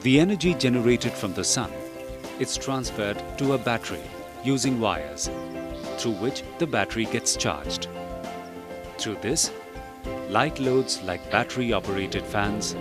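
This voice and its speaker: A man reading scripted instructional narration for a training film in a measured, didactic way. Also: on the low side, expressive. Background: background music.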